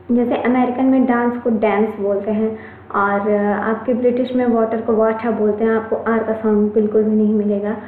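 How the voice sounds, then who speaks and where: Chatty Indian woman teaching on a tinny line. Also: on the high side. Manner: chatty, reassuring, repeats key points. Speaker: online English teacher. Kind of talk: Hindi-English mixed classroom lecture. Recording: echoey room, tinny phone-like sound, faint noise.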